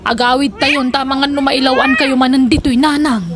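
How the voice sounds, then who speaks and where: A woman's voice: sits high, fairly even intonation, fairly quick, over music, lightly acted. A woman acting as a practical, exasperated adult daughter in a comedic radio drama, admonishing and trying to reason. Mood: persuading.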